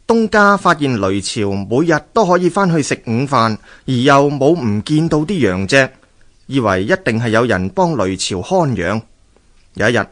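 A man with a Hong Kong Cantonese accent narrating a scripted radio story in a measured, expressive storytelling manner. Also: medium pitch.

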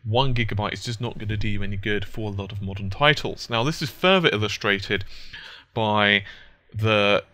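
A man's voice: fairly low pitch, normal speed, bright, forceful tone. A man hosting a gaming tech news channel, explaining hardware in a rambling, self-correcting chat, a little congested from hay fever. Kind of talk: casual tech commentary over gameplay.

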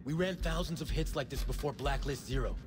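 A skeptical male intelligence agent, voiced by a male actor talks quickly and bluntly. It is an assertive video game cutscene performance with clear music behind. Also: mid-range voice, natural voice.

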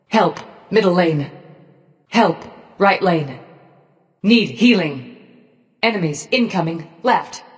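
A woman voicing a cold, menacing robotic gorgon in a video game, giving short commands in a measured, detached, machine-like way. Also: lowish voice, long reverb tail. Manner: slow, measured, emotionally detached machine-like delivery. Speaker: game character voice pack.

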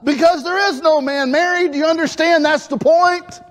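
A man, preaching a lively sermon in a church sanctuary on a lapel mic, acting out scenes as he moves about. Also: very high voice, normal speed. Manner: animated preaching, acts out biblical dialogue, moves about the stage. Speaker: pastor. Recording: reverberant church hall.

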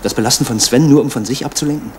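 A man's voice: medium pitch, very fast, a little mellow, a little hiss or hum, natural voice. An actor playing a probing, skeptical male police officer in a radio crime drama.

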